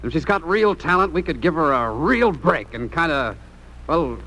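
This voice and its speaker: An earnest, excitable office pal in a 1950s radio drama. His pitch swings a lot. Faint noise marks the old recording. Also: normal speed, full character voice, rough recording. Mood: earnest, eager. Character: earnest, excitable friend and colleague of executive.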